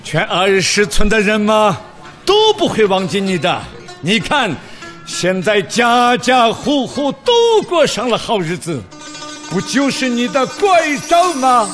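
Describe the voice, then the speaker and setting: A man's voice: sits high, very animated, measured pace, fairly bright tone, faint music behind, heavily acted. A man acting a plain-spoken, cautious farmer in a radio drama, earnest and hesitant. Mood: grateful. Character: plain-spoken, grateful male jujube farmer, cautious but canny.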